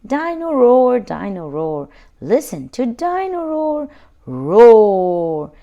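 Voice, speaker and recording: A woman voicing a singing toy dinosaur from a children's storybook, chanting loudly with drawn-out roars. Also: a little high, very animated, creaky, muffled recording, exaggerated voice. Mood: singing, boastful. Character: loud roaring singing toy robot dinosaur.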